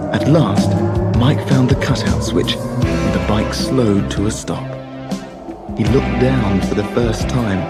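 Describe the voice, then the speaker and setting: A storyteller reading a children's tale as dramatic scripted narration. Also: medium pitch.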